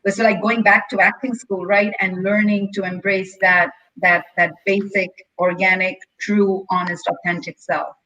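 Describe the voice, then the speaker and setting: Female theater guest, bright forward voice, average pitch, earnest and reflective, on a video call. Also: little pitch movement, highs cut off. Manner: earnest, effusive, deliberate phrasing. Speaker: stage actor, interview guest. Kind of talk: reflective interview talk. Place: indoors at home, on a video call.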